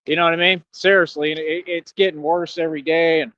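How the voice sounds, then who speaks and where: A man's voice: fairly high pitch, flat delivery, quick pace, pushed and bright, no room sound. A Texan man rambling casually in a self-recorded stream monologue, filling his talk with "you know what I mean". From Texas. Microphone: cheap headphones with mic. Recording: dry, dull lo-fi sound.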